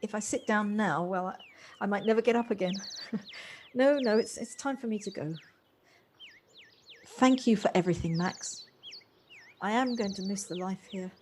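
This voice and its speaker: A woman in an audio play acting an earnest, reflective woman with a halting, tentative delivery. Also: mid-range voice, slight background noise, lightly acted. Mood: wistful, hesitant. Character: earnest, hesitant woman coming home softened and reflective. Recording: home recording on personal device, music behind.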